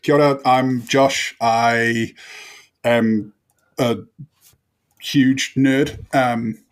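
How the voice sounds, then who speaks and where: Kiwi guy on a gaming podcast, talks real slow, deadpan jokes, casual. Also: mid-range voice. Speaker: podcast guest player. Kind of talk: casual chat, self-introduction.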